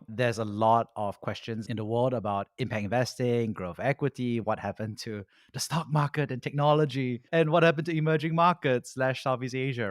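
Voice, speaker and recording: A man hosting a podcast interview, asking questions in an energetic, eager, conversational way. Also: mid-range voice, no room sound, studio quality. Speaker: podcast host.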